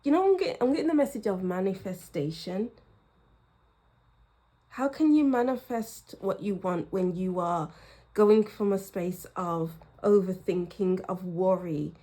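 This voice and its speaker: A woman reads tarot for her viewers at an unhurried, drawn-out pace. Her tone is soft and mellow, reflective, and she repeats phrases for emphasis. Her throat sounds slightly croaky. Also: mid-range voice. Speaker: tarot reader. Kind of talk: pick-a-card tarot reading.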